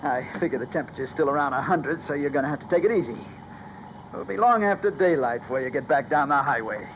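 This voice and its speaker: A cocky American stick-up man in a 1950s radio thriller, pitched on the high side. He snaps out threats and orders in tough gangster slang. The vintage recording is dull, with clear noise behind him. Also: animated, fast. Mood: commanding. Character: menacing armed stick-up man, cocky and gloating. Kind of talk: 1950s radio crime drama acting.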